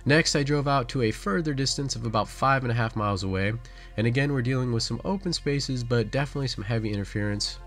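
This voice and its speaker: A man delivering a scripted product review as channel host, in a matter-of-fact, instructional way. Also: medium pitch.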